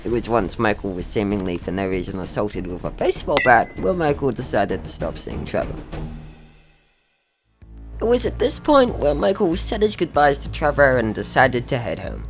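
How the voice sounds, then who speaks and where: A young narrator tells a scripted story with a mock-serious manner. The pitch sits in a middle range and swings in a lively way, with music playing behind the voice. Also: moderate pace. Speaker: video creator narrating own short film.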